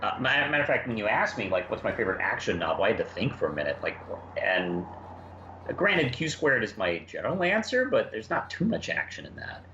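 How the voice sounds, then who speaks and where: A man chatting casually on a review discussion in a halting, rambling way, often repeating words. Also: fairly low pitch, sing-song, bright, forceful tone. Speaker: podcast host and writer. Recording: muffled, roomy, noisy home recording.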